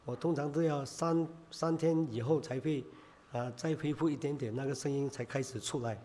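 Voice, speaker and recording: A man preaching a sermon in Chinese, hoarse from a cold, halting with many filler sounds. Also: medium pitch, fairly even intonation, warm tone.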